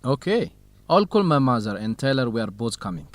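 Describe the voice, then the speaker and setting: A man playing a warm, helpful young student researcher in an educational radio drama. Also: mid-range voice, sing-song, brisk, no room sound, close to an everyday voice. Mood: warm, hospitable.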